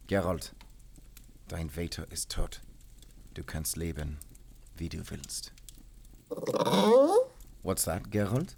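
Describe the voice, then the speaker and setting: A man playing a seasoned, commanding yet tender werewolf hunter in an audio roleplay, measured and resolute. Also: sits low, darkish tone, full character voice. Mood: warm, decisive. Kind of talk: cinematic audio roleplay acting.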